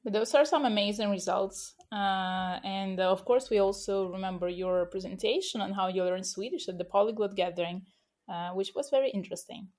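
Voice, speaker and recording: A woman's voice: medium pitch, a little fast, darkish tone. A woman hosting a podcast interview in a casual way, full of hesitant filler sounds. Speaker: podcast host.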